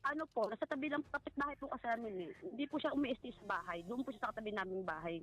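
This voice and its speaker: A woman with a Filipino accent giving a personal account in a halting, polite way, as a guest in conversation. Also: fairly high pitch, a bit bright, poor audio. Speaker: guest recounting a family story. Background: music.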